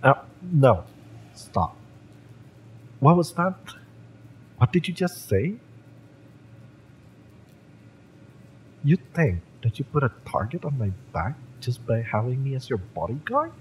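A man playing a fiery, lovesick young mercenary bodyguard in a romance audio roleplay, speaking with halting, wounded emotion. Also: medium pitch, sing-song, a little hiss or hum, poor audio. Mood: exasperated, protective.

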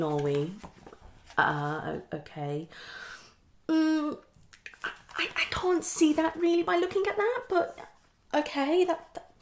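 A woman's voice: mid-range voice, creaky, a little hiss or hum. A young woman playing a psychologist in an improvised ASMR roleplay, questioning and hesitant, often repeating short phrases. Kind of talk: fast unscripted ASMR roleplay. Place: small home room, indoors.

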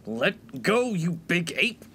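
A man's voice: fairly high pitch, big pitch swings, slow, sharp tone, a little hiss or hum, heavily acted. An actor playing an upright, indignant young 1950s college freshman in a comedic radio play, scolding sternly. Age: young adult.

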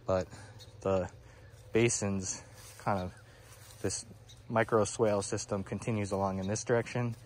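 A man giving a relaxed walking tour of his garden outdoors, with lots of "um, you know," filmed on a handheld phone. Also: lowish voice, unhurried, long pauses, slight background noise. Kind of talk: casual walking tour explanation. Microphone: phone camera held by the speaker.

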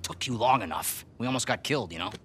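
An actor playing a brash, hard-nosed treasure hunter in a video game, with an American accent and a blunt, cocky manner. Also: male voice, mid-range voice, expressive, fast talker, a bit bright, a little hiss or hum, lightly acted. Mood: cocky, irritated. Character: brash, hot-tempered male treasure hunter, hard and pragmatic. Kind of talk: cinematic video game acting.

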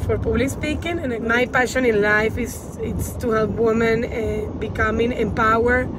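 A woman's voice: fairly high pitch, fairly even intonation, normal speed. A woman in her thirties with a Spanish accent gives casual advice to the camera as a coach, repeating phrases for emphasis. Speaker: women's empowerment coach giving tips. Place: inside a car. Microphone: phone at arm's length. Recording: loud car and road noise.

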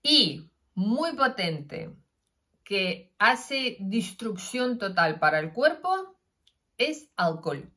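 A middle-aged woman with a Russian accent, talking casually to her phone camera about health, gesturing and pausing with fillers. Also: mid-range voice. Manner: animated, gesturing, many fillers and restarts. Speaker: health vlogger. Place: indoors, plain room with curtain backdrop. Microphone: phone camera, front-facing.

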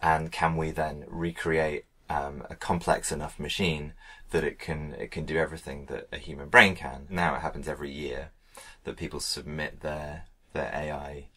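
A man's voice: rumbling low, level tone, normal speed, few pauses, bright, forceful tone. A man co-hosting a podcast, explaining ideas in a casual, explanatory way. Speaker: podcast co-host.

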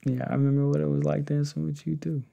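A young man speaking softly and hesitantly close to the mic in a casual, intimate ASMR roleplay. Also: on the high side, flat delivery, highs cut off. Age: young adult. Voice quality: gravelly, breathy. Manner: hesitant, halting, soft-spoken. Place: indoors, small home setup with fabric backdrop. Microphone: desktop condenser mic with pop filter, close up.

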